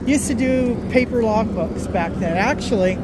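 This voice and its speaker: A middle-aged woman truck driver chatting casually on a vlog while walking her dogs, rambling and unhurried, recorded on a phone outdoors. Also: on the high side. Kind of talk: casual walk-and-talk vlog. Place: outdoors by a road and parking lot. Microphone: phone held while walking. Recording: outdoor phone audio with traffic noise. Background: passing cars and outdoor ambience.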